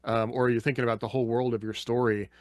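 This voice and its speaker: A man's voice: medium pitch, flat delivery, quick pace, dry recording, clean studio sound. A man giving his thoughts in a casual podcast panel discussion.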